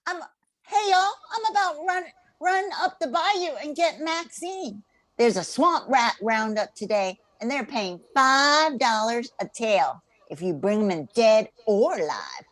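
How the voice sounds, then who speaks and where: A high, animated voice, dry and close. An older woman plays an eager bayou huntress in a radio drama, folksy and excitable, a woman always raring to go. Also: measured pace, dry recording. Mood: excited, eager. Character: excitable bayou woman, shotgun-toting swamp rat hunter. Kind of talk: radio play acting.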